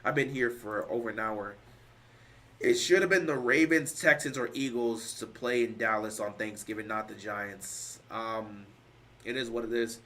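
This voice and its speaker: A man hosting a casual football talk show, arguing his points emphatically and repeating himself. He is tired and losing his voice. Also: medium pitch. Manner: emphatic, repeats points, rising to loud stresses. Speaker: sports show host. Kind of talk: casual sports talk, answering live chat.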